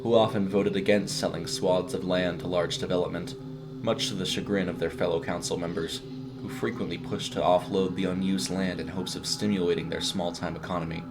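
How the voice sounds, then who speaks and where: A man's voice: sits low, steady tone, fairly quick, background noise. A man reading a scripted short story as a dramatic narrator.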